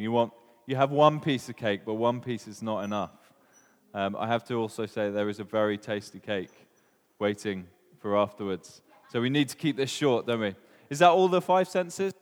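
Chatty Welsh preacher in a reverberant hall. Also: male voice, mid-range voice, normal speed. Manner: chatty, asks the room questions, many ums. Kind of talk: informal sermon with questions to the congregation.

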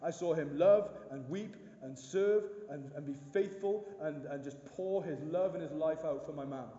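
A man in his thirties preaching with a big, booming voice that sits high yet sounds warm and mellow. He drives his points home earnestly, asking the room question after question. The sound is dull and muffled, with a long reverb trailing off the walls of a church hall. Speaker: pastor. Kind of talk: sermon. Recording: dull echoey hall recording.

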